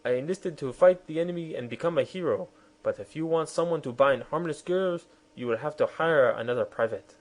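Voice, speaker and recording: A man voicing a polite, stubborn young soldier who speaks calmly and firmly. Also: fairly high pitch, brisk, pitched above the performer's own voice, close to an everyday voice, low-quality recording.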